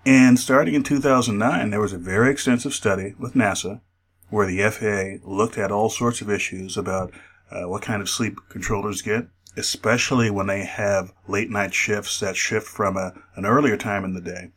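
A man speaking as an expert guest in a radio interview, explaining in a measured, careful way. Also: on the low side, steady tone, fairly bright tone, husky.

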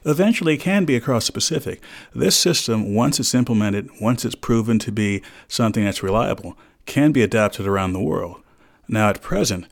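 Low-pitched man, measured expert explainer. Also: vocal fry. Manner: measured, precise, formal explanatory phrasing. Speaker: aviation safety expert, interview guest. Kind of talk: explanatory radio interview answers.

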